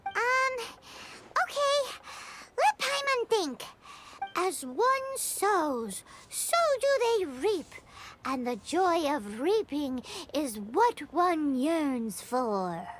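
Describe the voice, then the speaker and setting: A woman voicing a tiny, excitable fairy companion girl in a video game, with a squeaky childlike voice and perky, chatty manner. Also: drawn-out words, a little hiss or hum, full character voice. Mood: musing, playful. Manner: perky, talks of herself in third person. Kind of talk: video game character acting. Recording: loud music behind. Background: game music.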